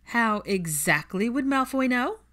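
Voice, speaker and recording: A woman's voice: on the high side, expressive, unhurried, a bit bright, pitched above the performer's own voice. A woman voicing a curious, naive young witch in an audiobook, questioning and hesitant. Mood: puzzled. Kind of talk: audiobook character voice.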